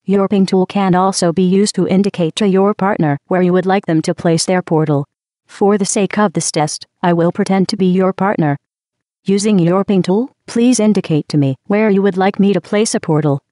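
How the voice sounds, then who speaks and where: A woman voicing a cold, sardonic female AI that runs test chambers in a video game. She speaks with deadpan, passive-aggressive mock politeness through a synthetic robotic filter. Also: mid-range voice, moderate pace, no echo, clean studio sound. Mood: dry, mock-polite. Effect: synthetic robotic vocal filter.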